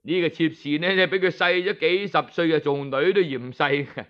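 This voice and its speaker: Male Cantonese storyteller, higher-pitched, unhurried, colloquial and explanatory, drawn-out phrase endings, slightly dull recording. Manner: conversational, explanatory, with drawn-out phrase-end particles. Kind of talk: Cantonese oral storytelling, scripted yet colloquial narration.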